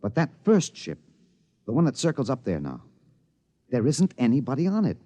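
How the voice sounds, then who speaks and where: A man playing an excited, obsessed radio reporter with an American accent, stammering and emphatic in 1950s radio drama style. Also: mid-range voice, moderate pace, dry recording. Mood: bitter, wistful. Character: excited radio newsman, agitated, obsessed with breaking a cover-up. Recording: dull vintage radio recording.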